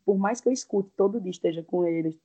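Mellow woman with a thick Pernambuco accent. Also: mid-range voice, fast talker, breathy edge, dull audio. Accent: Pernambuco Brazilian Portuguese. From Pernambuco, Brazil. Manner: relaxed storytelling with quoted dialogue. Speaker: guest. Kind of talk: casual interview chat.

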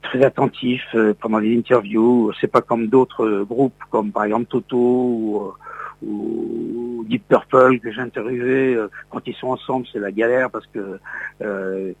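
A man's voice: mid-range voice, fairly even intonation, a little slow, gravelly, slightly rough, no echo, phone-quality sound. An older man from Lorraine, a radio host, reminiscing in an interview with many hesitant fillers and restarts. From Lorraine, France.

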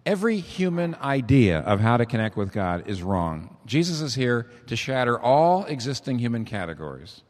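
A hoarse man preaching a sermon as pastor, talking conversationally with emphatic build-ups. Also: mid-range voice, very animated, normal speed, hardly any pauses. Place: church. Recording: long reverb of a large hall.

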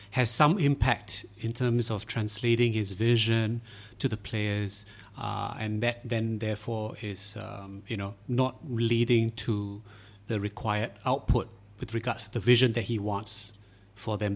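A man's voice: fairly low pitch, a bit bright. A man co-hosting a football podcast who frames long questions hesitantly, with many ums. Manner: hesitant, full of ums while framing a question. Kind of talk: casual football chat.

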